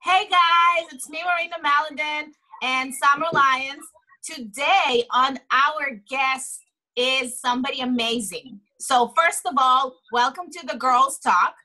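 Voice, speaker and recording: A woman's voice: sits high, a little slow, bright, forceful tone, low-quality recording. A woman hosting a video call interview, chatty and enthusiastic as she asks questions. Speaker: host. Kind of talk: casual interview. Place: indoor home room. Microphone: video call webcam.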